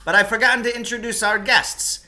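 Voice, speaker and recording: A man voicing a fussy, self-important storybook character in a loud, exasperated, exclamatory way. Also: high-pitched, steady tone, fairly quick, pitched above the performer's own voice. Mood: indignant, self-important.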